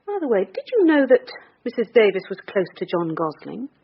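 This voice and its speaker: A brisk, wry British detective in a radio crime drama. Her questions come fast, with lively swings of pitch, on a slightly muffled recording. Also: husky, full character voice. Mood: probing, pointed. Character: brisk, probing, wry woman police detective.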